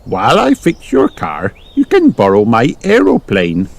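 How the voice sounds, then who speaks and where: A man's voice: mid-range voice, wildly swooping pitch, unhurried, vocal fry, heavily acted. A man voicing a helpful, reassuring female rabbit mechanic for a children's storybook.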